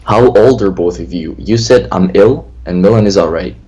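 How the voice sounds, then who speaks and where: A man's voice: sits low, moderate pace, warm tone, breathy edge, highs cut off. A twenty-year-old man co-hosting a casual livestream chat, rambling and often repeating himself. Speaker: co-host of a lucid dreaming stream. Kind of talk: casual livestream chat, reading viewer questions.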